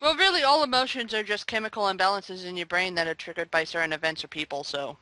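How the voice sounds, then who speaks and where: Joking woman on a podcast panel, bright voice, dry close sound, quick quips. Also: mid-range voice, no room sound, poor audio. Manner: wisecracking, giggly, quick quips. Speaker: podcast guest. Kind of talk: casual review discussion.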